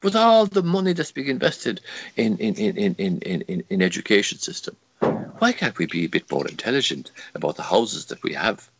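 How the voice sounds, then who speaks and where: An older Irish man with a Kerry accent, a native Irish speaker, argues in English with heated emphasis, stumbling over repeated words before pushing his point. His low voice swings widely in pitch. The sound is close and dry, a little dull. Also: big pitch swings, fairly quick, vocal fry, a bit bright, breathy edge, dry recording. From Ireland. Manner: emphatic, stammering restarts, rhetorical questions. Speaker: guest, campaigner. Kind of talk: impassioned interview argument.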